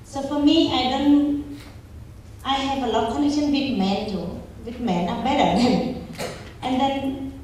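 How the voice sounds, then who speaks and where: A woman's voice: high-pitched, measured pace, a little mellow, slight background noise. A woman from Cambodia, whose first language is Pnong, speaking emphatic, simple broken English with a Cambodian accent as a guest answering questions. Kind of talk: interview answers to an audience. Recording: echoey hall, from far off.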